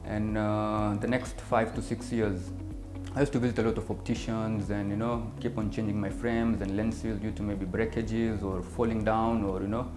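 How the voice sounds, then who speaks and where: Kenyan man, 30s, optician, low voice, measured, many fillers, echoey room. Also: flat delivery. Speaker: optician and business owner. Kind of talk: interview answers. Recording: echoey room with music behind.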